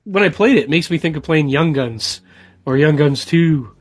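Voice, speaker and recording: A man's voice: a little high, animated, normal speed, slightly rough, soft background music. A man co-hosting a podcast, talking casually and conversationally. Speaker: podcast co-host. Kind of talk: casual chat about video games.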